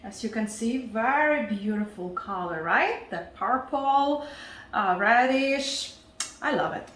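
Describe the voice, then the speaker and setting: A woman's voice: on the high side, expressive, slow pace, a bit bright, echoey room, recorded from a distance. A woman with a Ukrainian accent hosting a casual cooking demonstration, chatty and often checking in with her viewers. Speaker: cooking show host.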